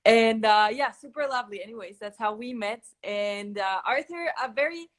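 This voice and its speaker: A woman's voice: on the high side, moderate pace. A young woman from Croatia, raised in Germany, chatting as a guest on a live stream, bubbly and a little nervous, laughing often. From Croatia, grew up in Germany. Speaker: guest, content creator and teacher. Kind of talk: casual live-stream chat.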